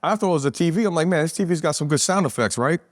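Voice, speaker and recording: A man's voice: fairly high pitch, lively intonation, very fast, no room sound. A man in his forties from New Jersey, a podcast guest telling rambling, profane stories in a casual chat at a pizzeria. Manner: rambling, profane, street-talking. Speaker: podcast guest, business owner.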